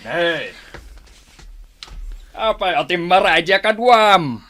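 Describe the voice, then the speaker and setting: A man's voice: high-pitched, animated, bright, forceful tone, full character voice. An actor with an Ilocano accent playing a boastful, domineering older man who challenges people in a comic radio drama. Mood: boastful, menacing. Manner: slow, challenging, drawn-out delivery. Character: menacing, boastful older male village tough, domineering father.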